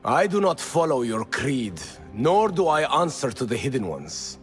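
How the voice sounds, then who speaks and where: An actor playing a defiant, hardened rebel leader in a video game, with a blunt, commanding, formal delivery. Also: male voice, mid-range voice, big pitch swings, a little slow, soft background music. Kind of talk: dramatic video game cutscene.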